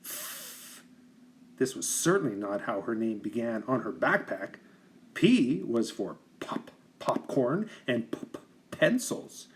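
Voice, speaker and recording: Animated man reading a children's storybook. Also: medium pitch, slight background noise. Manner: animated, deliberate emphasis for young listeners. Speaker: teacher reading a picture book aloud. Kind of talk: expressive children's storybook narration.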